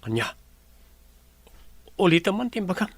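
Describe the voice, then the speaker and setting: A man's voice: high-pitched, sing-song, moderate pace, no room sound, exaggerated voice. A man playing a young businessman and boss in an Ilocano radio drama, with an Ilocano accent. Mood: curt, surprised. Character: young businessman, a boss and wealthy man's son.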